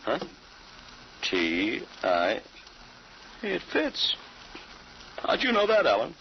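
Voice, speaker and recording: A man's voice: mid-range voice, huge pitch swings, very slow, gravelly, full character voice. An actor playing a genial middle-aged small-town father in an old-time radio drama. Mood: musing. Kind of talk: 1940s radio acting. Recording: muffled, hissy old radio recording.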